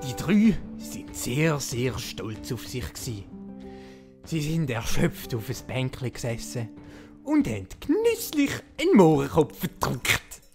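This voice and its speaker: A woman's voice: a bass voice, sing-song, slow pace. A woman with a Swiss German accent narrating playfully, like a fairy tale told to children. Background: music.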